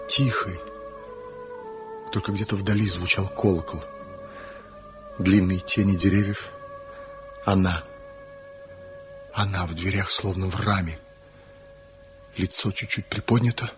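An actor playing Zhivko, a proud, envious rival woodcarver, musing slowly in a 1970s radio play. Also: male voice, on the low side. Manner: brooding, slow inner monologue, proud. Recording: dull lo-fi old radio recording with faint music.

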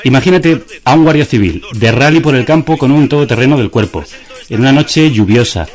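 An actor playing a deadpan, pedantic philosopher of the absurd in a radio theatre dialogue. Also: male voice, mid-range voice, fairly quick, soft background music, natural voice. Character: deadpan absurd philosopher musing over news headlines.

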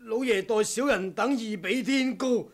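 A man's voice: sits high, a little slow. A man acting a loyal, humble, anxious household servant in a Cantonese radio drama, deferential and pleading.

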